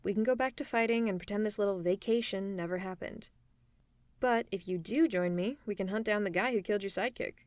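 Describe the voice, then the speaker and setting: A woman's voice: medium pitch, brisk, darkish tone, no echo. A woman voicing an adult female supervillain in a one-sided ASMR roleplay, talking in a teasing, persuasive way to a silent listener. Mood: scheming.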